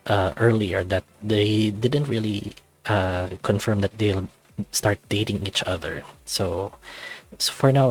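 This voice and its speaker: A man hosting a read-along channel, talking casually and somewhat haltingly as he gives his own commentary. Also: on the low side. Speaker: host of a visual novel read-along channel.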